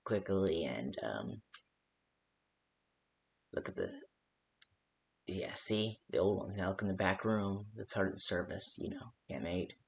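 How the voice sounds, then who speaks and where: Casting: male actor as rambling night-shift phone guide for a game, low gravelly voice, hesitant and unpolished. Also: expressive, a little slow, pauses often, full character voice. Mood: casual, hesitant. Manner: hesitant, rambling, full of stops and second thoughts. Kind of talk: improvised-sounding instructional phone call for a game.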